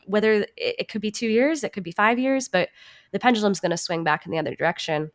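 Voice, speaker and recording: A woman's voice: mid-range voice, fast talker. A woman speaking as an interview guest, reflective and casual, with an earnest, self-assured manner. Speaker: podcast guest, actor and writer.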